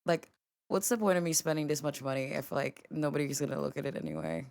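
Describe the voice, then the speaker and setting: Low-voiced woman streamer, casual chat. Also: very animated, quick pace, a little mellow, no room sound, studio quality. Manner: dramatic, swears, laughs, mopes.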